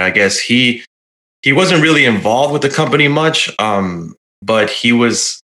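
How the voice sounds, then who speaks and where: A man's voice: on the low side, bright, forceful tone. A man chatting casually as a podcast guest, with a tentative, hedging way of talking. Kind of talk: casual chat.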